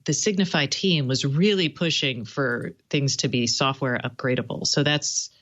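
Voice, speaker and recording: A woman's voice: extremely low pitch, moderate pace, a bit bright, lo-fi sound. A woman speaking as a tech expert guest, explaining things in an informal, conversational way. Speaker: tech journalist and podcaster, guest. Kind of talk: casual expert interview talk.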